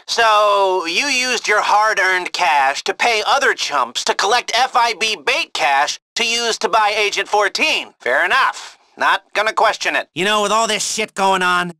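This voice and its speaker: An actor playing a jittery, sardonic criminal heist planner in a video game, talking casually with nervous, rambling asides. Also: male voice, sits high, sharp tone, no echo, full character voice. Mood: wry, hesitant. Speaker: heist coordinator giving mission briefings.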